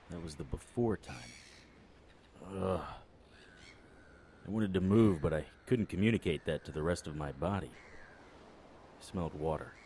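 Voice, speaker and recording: A man playing a wry, world-weary detective narrating a noir audio drama in clipped, deadpan phrases. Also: extremely low pitch, slight background noise, heavily acted.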